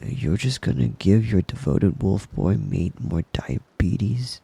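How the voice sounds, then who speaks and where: Man acting as doting wolf-boy boyfriend, husky whispery ASMR roleplay, mellow and dark, slow coaxing delivery, possessive, close-miked. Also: low voice, big pitch swings, heavily acted. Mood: teasing. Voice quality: breathy, husky, often whispering. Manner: tender, slow, lingering, coaxing. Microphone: close-up ASMR microphone.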